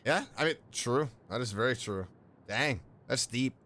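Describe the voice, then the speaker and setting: A man hosting a casual reaction video as a game fan, talking in an enthusiastic, expressive way. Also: medium pitch, moderate pace. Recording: music behind.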